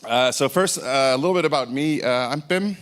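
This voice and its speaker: A man's voice: mid-range voice, brisk, fairly bright tone. A middle-aged Dutch man with an American-sounding accent, giving an informal technical talk from a conference stage, with many fillers and restarts. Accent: American-sounding English. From Netherlands. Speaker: conference speaker.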